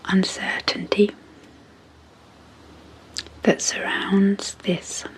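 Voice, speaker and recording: Very slow, whispery soft-spoken woman. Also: mid-range voice, level tone. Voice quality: soft, breathy, near-whispered. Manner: gentle, measured, with long pauses between short phrases. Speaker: psychologist hosting an ASMR channel. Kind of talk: soft-spoken ASMR sleep hypnosis introduction.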